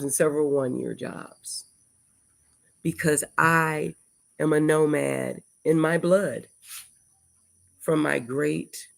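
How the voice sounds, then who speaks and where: Older american woman, livestream host, gravelly fry, unhurried rambling talk. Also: sits low. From United States. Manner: rambling, reflective, lists things in long runs. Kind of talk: casual livestream monologue. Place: indoors at home before dawn.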